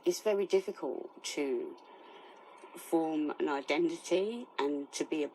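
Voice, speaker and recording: A woman giving personal testimony in an interview, speaking in a serious, halting way. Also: sits low, mellow, slight background noise, rough recording.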